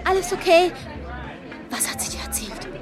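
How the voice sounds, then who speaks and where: A woman's voice: very high voice, very animated, normal speed, over music, a crowd in the background. An actress playing a wary, nervous young woman, hesitant and defensive, in a naturalistic radio drama.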